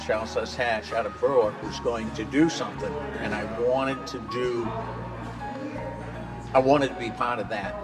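An older man, a veteran, giving a personal account in an interview style. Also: medium pitch. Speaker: veteran and post member. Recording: slightly distant, music underneath. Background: music.

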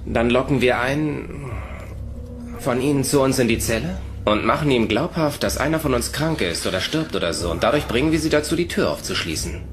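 A man playing a reasoning prisoner in a sci-fi radio drama, measured and a little hesitant as a planner. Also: mid-range voice, animated, noisy background, lightly acted. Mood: thoughtful. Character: reasoning male captive plotting an escape.

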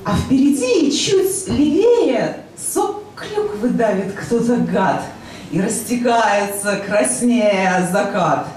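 Slow, swooping female poet in echoey club. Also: a little high, animated, a little mellow. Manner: theatrical, playful. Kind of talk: expressive live poetry recital. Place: rock club stage, indoors. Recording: very echoey club, noisy, distant pickup.

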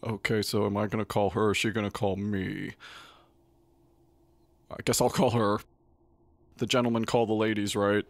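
A man voicing a lovestruck young warlord in an animated parody, hesitant and casual even when menacing. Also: fairly low pitch, normal speed, long pauses, a little hiss or hum, close to an everyday voice. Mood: nervous.

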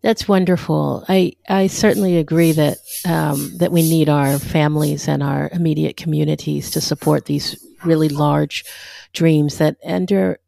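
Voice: mid-range voice, steady tone, normal speed, gravelly, dark tone, no room sound. A podcast host asking thoughtful questions and affirming the guest. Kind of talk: podcast interview.

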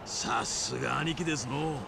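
An actor playing a brash, lecherous middle-aged yakuza boss with a Hiroshima accent and a gravelly voice, in a video game. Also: male voice, mid-range voice, normal speed, noisy background. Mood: admiring. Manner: blustering, exaggerated, animated. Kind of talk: video game cutscene acting.

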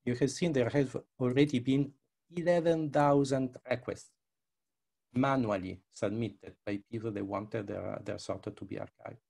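Gravelly older man presenting, non-native English speaker. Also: medium pitch, steady tone, moderate pace, a little mellow. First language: not English. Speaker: researcher presenting his work. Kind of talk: live presentation with software demo. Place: indoors, on a video call. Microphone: headset.

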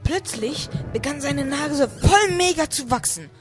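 A man's voice: very high voice, lively intonation, unhurried. Man, scripted first-person narrator, amateur parody radio play, background music. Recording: music under the voice.

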